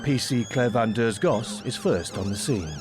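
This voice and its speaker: A man's voice: mid-range voice, very animated, normal speed, loud music behind the voice. A man reading scripted narration for a television documentary.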